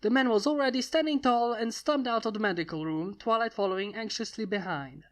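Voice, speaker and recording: A woman reading a fantasy story aloud as scripted, expressive narration. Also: on the high side, brisk. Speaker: fan fiction reader.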